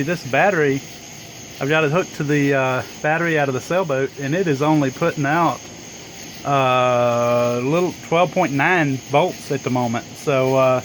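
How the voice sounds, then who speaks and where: A man chatting casually as an amateur radio operator, in a hesitant, rambling way. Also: medium pitch. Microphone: handheld phone camera. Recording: noisy phone recording, insects, air conditioner. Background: insects chirping, air conditioner hum.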